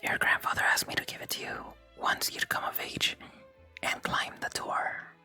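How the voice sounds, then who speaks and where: An ASMR streamer voicing a fond, proud, gently worried village mother from a video game in a breathy whisper. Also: normal speed. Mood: hesitant, serious. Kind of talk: whispered game dialogue reading. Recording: close whisper with game music behind.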